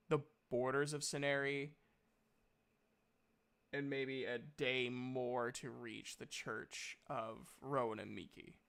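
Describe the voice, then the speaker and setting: A man's voice: mid-range voice, fairly bright tone, clean tone. A man narrating a tabletop roleplaying game as game master, explaining things in a hesitant, improvised way.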